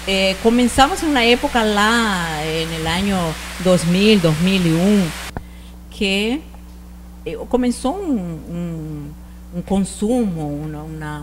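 A woman's voice: fairly low pitch, slight background noise, poor audio. A woman in her forties from northern Argentina with an Argentine Spanish accent, talking as a shop owner in a studio interview, mixing Spanish words into her speech and restarting sentences often. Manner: mixes Spanish words into Portuguese, hesitant restarts. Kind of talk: casual interview answers. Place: TV studio set styled as rustic ranch. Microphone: handheld mic.

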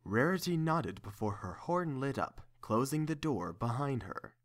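A man's voice: mid-range voice, expressive, unhurried. A man reading scripted third-person story narration as the narrator of an audio drama.

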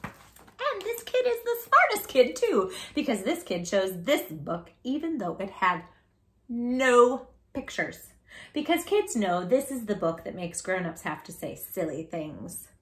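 A woman's voice: fairly high pitch, sing-song, a little slow. A woman in her thirties reading a children's book aloud as a storytime host, with a theatrical, exaggerated silly delivery. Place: small living room, indoors on a sofa.

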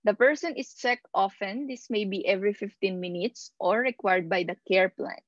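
A woman instructor giving a slide lecture over a video call, reading out points and explaining them haltingly, pausing mid-phrase. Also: mid-range voice, no echo, lo-fi sound. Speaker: instructor of nursing assistant course.

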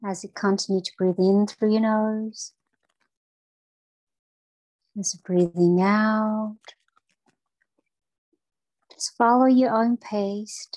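A woman leading a guided breathing meditation for children, speaking in a gentle, soothing and encouraging way. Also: medium pitch, dry recording, poor audio.

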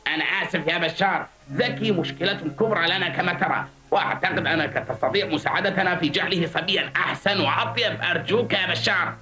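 Man as a quick-talking, fretful insect father. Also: high voice, natural voice. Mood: pleading. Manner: earnest, fretful, pleading. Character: kindly, apologetic, flustered insect father. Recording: old cartoon dub, music behind.

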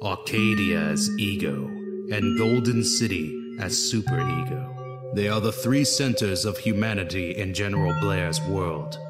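An actor playing a solemn narrator of a comic book saga, reading scripted narration over loud music. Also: male voice, low voice, a little slow, in a large hall.

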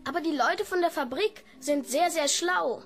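A woman's voice: very high-pitched, moderate pace, soft background music. A woman acting a well-behaved, earnest seven-year-old boy in a children's radio play, speaking politely and precisely.

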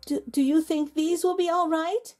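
A woman's voice: extremely high pitch, fairly even intonation, moderate pace, darkish tone, voice pushed up. A woman voicing a sad, timid little village girl in a children's storybook, hesitant and earnest. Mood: anxious hope. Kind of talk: children's storybook read-aloud.

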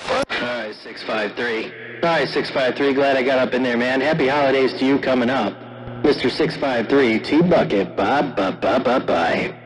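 A man hosting a radio channel from his own station, chatting in a friendly way with drawn-out sign-offs. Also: medium pitch, crowd noise, low-quality recording. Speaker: radio operator, channel host.

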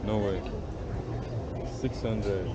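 A man's voice: lowish voice, fairly even intonation, extremely slow, very noisy. A Nigerian man vlogging as he walks through an outdoor market, playfully haggling with a vendor. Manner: playful, joking bargainer. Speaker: travel vlogger. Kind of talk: casual vlog and haggling. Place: busy outdoor street market. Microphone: camera mic, filming himself. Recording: muffled outdoor camera audio with crowd. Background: loud market crowd.

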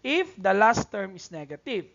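A man's voice: high voice, very animated, normal speed, creaky, fairly bright tone. Male Filipino math teacher, native Bisaya speaker, instructional and repetitive, slightly muffled lo-fi sound. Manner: instructional, repetitive, checks in often. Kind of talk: tutorial lesson, code-switching explanation. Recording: dull, lo-fi voice-over recording.

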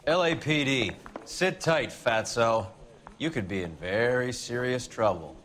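An American actor playing a cocky, mocking police detective in a video game, with a sardonic, insolent manner. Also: male voice, medium pitch, lively intonation, measured pace, a bit bright. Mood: mocking, pushy. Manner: sardonic, needling.

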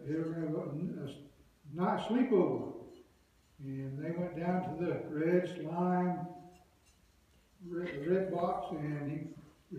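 A man's voice: on the high side, a little hiss or hum. A middle-aged man in costume telling a folksy story from a church stage, chuckling as he talks. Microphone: far room mic. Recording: echoey sanctuary, far, dull.

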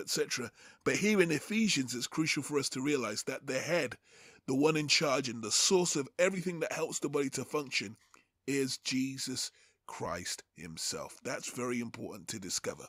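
Guy doing bible teaching, slightly high voice, takes his time, formal wording. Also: fairly bright tone, no room sound, studio quality. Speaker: Bible teacher, host of the series. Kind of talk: Bible teaching over slides, with prayer.

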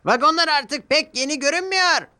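A man's voice: very high voice, lively intonation, brisk, sharp tone, pitched above the performer's own voice, full character voice. A man voicing a vain, boastful male steam engine in a cartoon dub, with a smug, taunting manner. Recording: music playing behind.

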